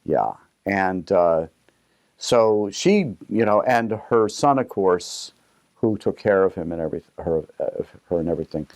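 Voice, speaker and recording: A man recollecting in a personal interview, halting and rephrasing as he goes. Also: low-pitched, darkish tone, no room sound.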